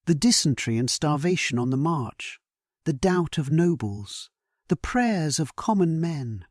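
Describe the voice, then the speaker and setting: A man giving a solemn, measured and theatrical first-person narration of a scripted dramatic sleep story. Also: a little high, darkish tone, no room sound, studio quality.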